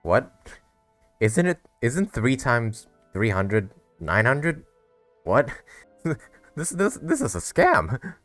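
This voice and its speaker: A man's voice: mid-range voice, slow pace, no echo, faint music behind. A man giving casual, slangy playthrough commentary, reacting expressively to a game's story. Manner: slangy, expressive, mock-incredulous. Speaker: game streamer reacting to story.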